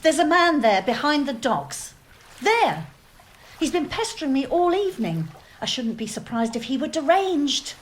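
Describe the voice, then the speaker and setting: A woman's voice: high-pitched, big pitch swings, moderate pace, slight background noise. An actress playing an indignant genteel lady pestered on the docks, haughty and theatrical, in an amateur radio play. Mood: alarmed.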